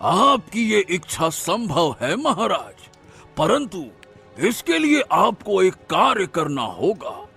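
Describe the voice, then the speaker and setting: A man voicing a sly, sinister tantric sorcerer in a cartoon story, with a gravelly, husky voice and a wheedling, drawn-out delivery. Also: high voice, very animated, sharp tone, faint music behind, heavily acted. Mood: oily, welcoming.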